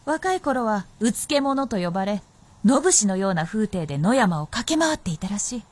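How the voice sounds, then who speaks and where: An actress playing a playful, confident, centuries-old fox princess in a radio drama, with teasing, old-fashioned haughty speech. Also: female voice, mid-range voice, very animated, normal speed. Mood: playful, boasting. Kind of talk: Japanese radio drama acting.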